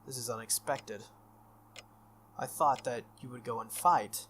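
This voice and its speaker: A man voicing a formal, earnest young female knight from a visual novel, in stiff, measured phrasing. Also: medium pitch, a bit bright, a little hiss or hum, lightly acted. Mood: resolute. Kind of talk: visual novel character read aloud.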